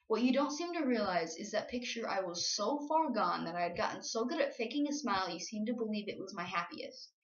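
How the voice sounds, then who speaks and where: A woman's voice: mid-range voice, lively intonation, fairly quick, few pauses. A young adult woman performing spoken-word slam poetry with an earnest, emotional, confessional delivery. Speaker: slam poet. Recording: roomy, dull lo-fi home recording.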